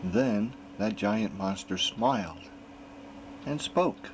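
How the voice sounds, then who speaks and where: A man reading a children's picture book aloud in an expressive storytelling manner. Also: lowish voice, slow, slight background noise. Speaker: reader.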